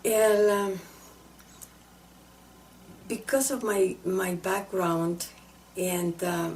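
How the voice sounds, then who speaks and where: Slow, mellow woman. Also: medium pitch, fairly even intonation, slight background noise, rough recording. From El Salvador. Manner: halting, reflective, earnest. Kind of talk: personal testimony to camera.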